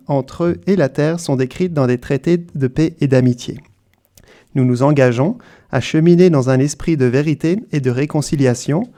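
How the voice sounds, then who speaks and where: A man chairing a town council meeting, reading formal statements in a measured way. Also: on the high side, mellow. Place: council chamber.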